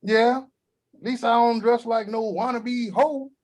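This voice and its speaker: An actor playing a crude, cranky old grandfather at a table read, talking gruff and vulgar. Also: very high voice, steady tone, a little slow, darkish tone.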